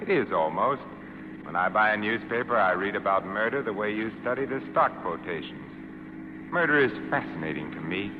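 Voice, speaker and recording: An actor plays a brilliant, hard man who taunts with morbid amusement. His lowish voice moves freely up and down. It sounds like an old radio suspense play, tinny and echoing. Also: lively intonation, normal speed, close to an everyday voice. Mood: morbid, musing. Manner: amused, needling, smoothly confident. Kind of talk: 1940s radio suspense acting. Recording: tinny, echoing, noisy vintage radio recording.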